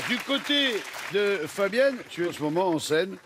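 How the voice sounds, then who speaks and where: A man hosting a TV game show, teasing the guests and the contestant in quick, playful banter. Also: high voice, very animated. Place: TV studio with audience. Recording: studio with music and crowd noise.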